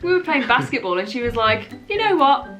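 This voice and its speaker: Bright, high-voiced giggly woman reading stories. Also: big pitch swings, soft background music. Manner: playful, giggly, deliberately repeating lines. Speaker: vlogger. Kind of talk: jokey dramatic reading of short stories.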